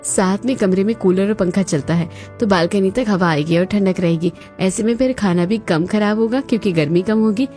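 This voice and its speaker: A woman's voice: medium pitch, normal speed, hardly any pauses, mellow, natural voice. A woman playing a practical, cheerful young housewife in a cartoon family story, with music behind her. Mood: upbeat, explaining. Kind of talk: Hindi cartoon story dialogue.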